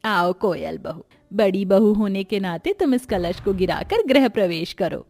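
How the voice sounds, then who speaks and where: A woman's voice: mid-range voice, animated, measured pace, darkish tone. A woman voicing a firm, fair-minded middle-aged mother-in-law in a cartoon story, authoritative and admonishing. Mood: formal, welcoming.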